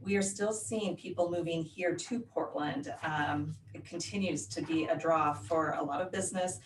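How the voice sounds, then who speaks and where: A woman's voice: low-pitched, normal speed. A woman native to Oregon, an escrow officer, answering interview questions in a friendly, explanatory way. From Oregon, USA. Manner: friendly, explanatory, laughs easily. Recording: roomy sound, mic at a distance, faint noise.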